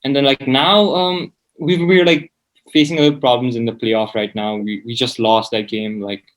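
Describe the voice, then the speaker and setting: A man's voice: mid-range voice, fairly even intonation, normal speed, poor audio. A seventeen-year-old man, chatting casually as an interview guest in a hesitant, informal way, often saying "like". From Nepal. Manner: hesitant, informal, peppers speech with "like". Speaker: student guest.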